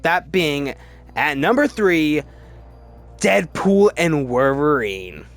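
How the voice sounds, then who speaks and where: A man giving casual, opinionated commentary as a video host, emphatic and halting, often restarting his sentences. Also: on the high side, very animated, faint music behind. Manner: chatty, halting, restarts sentences mid-word. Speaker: movie review video host. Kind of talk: casual ranked-list movie commentary.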